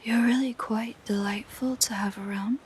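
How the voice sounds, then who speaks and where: A woman voicing a possessive, curious siren in an intimate audio roleplay, speaking in soft, gentle, musing whispers. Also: a little high, fairly even intonation, a little hiss or hum, close to an everyday voice. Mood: musing delight. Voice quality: soft, breathy, mostly whispered. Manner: slow, gentle, musing delivery. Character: possessive, curious female siren, sweetly entranced by humans.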